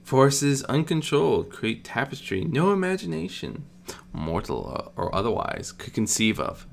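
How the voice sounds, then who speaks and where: A narrator voicing a calm, regal, motherly ancient goddess of life in a story reading, formal and fond. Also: mid-range voice, unhurried, gravelly, a little hiss or hum. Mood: calm, grave. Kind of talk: amateur audiobook character reading.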